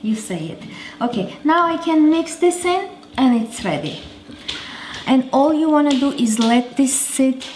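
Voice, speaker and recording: A woman's voice: high voice, animated, unhurried, darkish tone, slight background noise. A woman in her thirties hosting a home cooking show, chatting casually as she cooks in her kitchen. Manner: chatty, talks while cooking. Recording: roomy kitchen with faint clatter.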